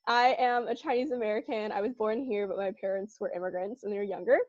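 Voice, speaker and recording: A woman's voice: medium pitch, fast, darkish tone, dry recording, highs cut off. A young woman from Southern California co-hosting a podcast, talking casually with lots of fillers and laughter. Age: young adult. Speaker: podcast co-host. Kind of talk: casual chat.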